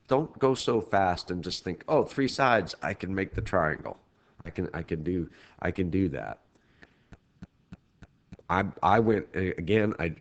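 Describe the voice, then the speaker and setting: A man with a very deep, low-pitched voice delivers a recorded math lecture for his class. He is congested from a head cold, so his voice sounds thick and stuffy. He speaks in a casual, loose way, often restarting sentences mid-thought. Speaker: teacher. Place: at home, recording remotely.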